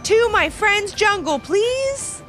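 An eager young child from a storybook, as a woman reader puts it on, very high and animated. The child is excitable and quick to exclaim or plead. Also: faint music behind, full character voice. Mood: excited.

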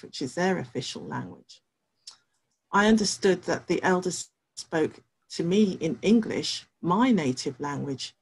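A middle-aged woman researcher reading a prepared talk from her home study, measured and careful, with pauses. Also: on the low side, slightly rough, poor audio.

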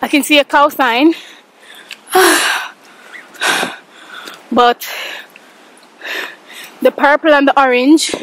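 A woman's voice: sits high, fairly even intonation, very slow, long pauses, a bit bright, low-quality recording. A young woman vlogging, chatting casually to a handheld camera as she hikes up a muddy trail and later talks at home. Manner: chatty, breathless, exclaiming. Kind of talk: casual vlog chat. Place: outdoors on a muddy hillside trail, then a car, then a bedroom at home.

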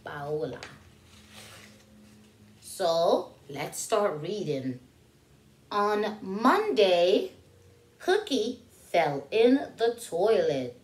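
A woman in her thirties leading children's story time, reading aloud in an animated, questioning way and stretching out key words for young listeners. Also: medium pitch, extremely slow, long pauses. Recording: roomy indoor echo.

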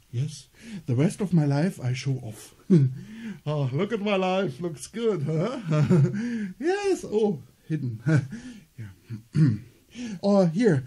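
Gentle German-accented man, dark voice. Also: a little high, slightly rough. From northern Germany. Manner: gentle, halting, slow storytelling with pauses. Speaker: theater performer. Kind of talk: solo storytelling skit.

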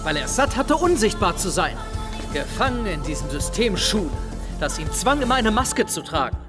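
Smug scheming schoolboy, reverberant hall. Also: male voice, sits high, very animated, normal speed, music in the background. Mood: accusing. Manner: taunting, theatrical. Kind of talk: audio drama acting. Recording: long reverberant hall sound.

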